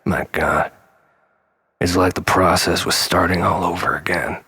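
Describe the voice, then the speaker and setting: A man's voice: deep voice, steady tone, unhurried, pushed and bright, slightly rough. A man delivering a solemn, theatrical, darkly comic monologue in a brooding style.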